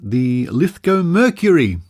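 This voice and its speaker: An Australian man, middle-aged or older, presenting a podcast and reading old newspaper articles aloud in a measured, storytelling way with asides. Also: on the high side, big pitch swings, a little mellow.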